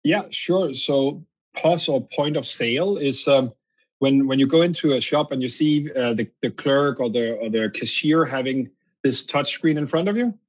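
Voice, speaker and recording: A man's voice: mid-range voice, moderate pace, muffled recording. A man with a Danish accent, a guest on a podcast interview, explaining at length and often restarting his sentences. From Denmark. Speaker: guest, company founder.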